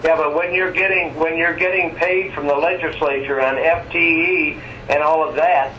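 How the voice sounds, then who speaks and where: A man speaking haltingly and meandering through questions as a board member in a telephone meeting. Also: fairly high pitch, fairly even intonation, pushed and bright. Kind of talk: informal meeting discussion. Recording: echoey muffled phone line, faint noise.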